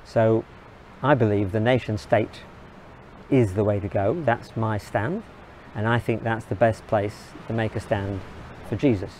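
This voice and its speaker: A man from England, giving an earnest, measured opinion monologue in short, halting phrases. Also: on the low side, fairly even intonation, a little mellow, a little hiss or hum, rough recording. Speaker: video blogger.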